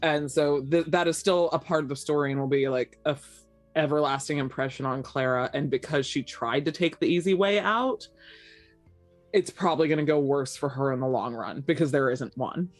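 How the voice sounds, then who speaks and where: A woman's voice: low voice, normal speed, no echo, soft background music. An American woman talking casually and candidly, with some swearing, in a debrief after a tabletop roleplaying game.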